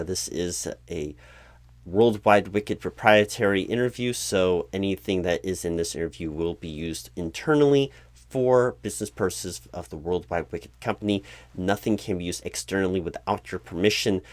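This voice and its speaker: A man acting as a corporate recruiting manager with a lowish voice, recorded close and dry. He is polite and bureaucratic, a little flustered, halting and repeating himself as he patiently over-explains in a one-sided ASMR interview roleplay, spoken aloud. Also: no room sound, lightly acted. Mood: formal, patient.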